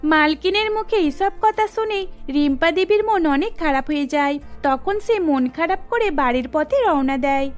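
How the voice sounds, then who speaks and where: A woman narrates a scripted cartoon story. Her voice is strikingly high-pitched. Music plays behind the narration. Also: normal speed.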